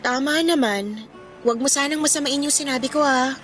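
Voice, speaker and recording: An actress playing a considerate, candid young daughter with a Filipino accent in a radio drama. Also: female voice, sits high, normal speed, a bit bright, soft background music. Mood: candid, careful.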